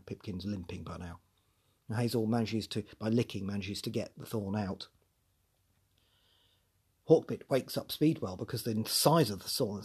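English guy talking through a book on his podcast, bit husky, rambly, keeps restarting sentences. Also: fairly low pitch, a little mellow. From England. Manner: chatty and musing, with frequent restarts and asides. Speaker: podcast host. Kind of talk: chapter-by-chapter book discussion podcast.

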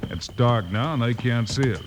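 A man's voice: medium pitch, moderate pace, background noise, natural voice. A man playing a firm, level-headed American lawman hero in an old-time radio western. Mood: thoughtful. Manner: firm, matter-of-fact.

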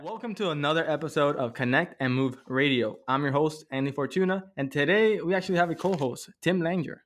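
Slightly high-voiced male podcast host. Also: a little fast, soft background music. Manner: reads intro bio, then relaxed and friendly. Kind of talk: casual interview hosting.

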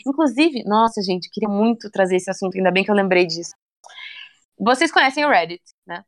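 A young woman chatting casually in an interview, relaxed and talkative, often ending phrases with little tag questions. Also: mid-range voice, brisk. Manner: chatty, relaxed, many fillers like "né" and "sabe".

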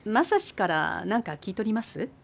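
Lively Aichi-dialect island landlady. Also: mid-range voice, normal speed, gravelly. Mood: hospitable. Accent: Mikawa, Aichi dialect. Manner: solicitous, gently scolding. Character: caring, fussing island guesthouse landlady, middle-aged. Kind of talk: modern radio drama acting.

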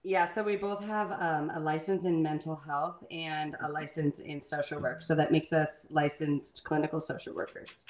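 A woman guest and licensed clinical social worker, with a deep booming voice, talking candidly and bluntly. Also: steady tone, normal speed, hardly any pauses. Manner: candid, laughs loudly, blunt. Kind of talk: casual podcast conversation.

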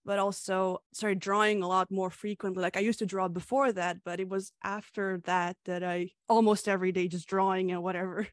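Guest: young woman from Hungary, chatty with fillers, mid pitch, dry close mic. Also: flat delivery, a little fast, no room sound. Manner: chatty, laughs often, frequent fillers. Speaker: comic creator, guest. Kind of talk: casual interview answers.

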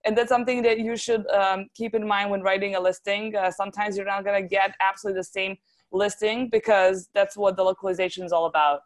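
A woman's voice: mid-range voice, steady tone, fast talker. A woman from Serbia with a Serbian accent, talking casually and chattily as an interview guest. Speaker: interview guest, translation agency founder.

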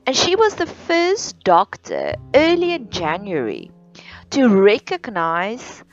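A woman from South Africa with an Afrikaans accent, hosting a solo podcast in a slow, earnest, deliberate way with many pauses. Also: fairly high pitch, huge pitch swings. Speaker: podcast host. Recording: dull lo-fi sound with faint music.

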